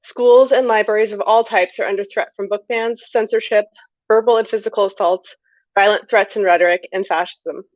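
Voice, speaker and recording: A woman's voice: mid-range voice, no room sound. A woman reads out a prepared acceptance speech. Her delivery is earnest and emphatic, with formal wording. The recording is very dry and a little dull, without room sound. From United States. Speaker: award winner, critic and librarian.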